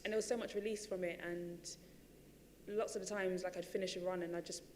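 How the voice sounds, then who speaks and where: A woman's voice: lowish voice, fairly even intonation, brisk, a little mellow, in a large hall, a little hiss or hum. A woman and running coach telling her story at a panel talk, chatty and full of fillers and restarts. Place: large theatre stage.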